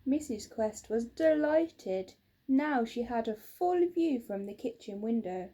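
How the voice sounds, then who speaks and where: A woman narrating a children's storybook in a measured, expressive way. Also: fairly high pitch. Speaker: storybook reader.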